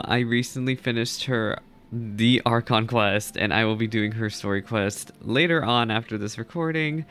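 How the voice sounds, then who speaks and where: Bright-voiced man doing casual reaction commentary. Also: medium pitch. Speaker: reaction video host.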